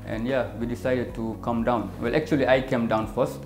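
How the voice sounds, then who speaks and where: A Kenyan man in his thirties, an optician and business owner, answering interview questions in a measured way, with many fillers. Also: mid-range voice, fairly even intonation. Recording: echoey room with music behind.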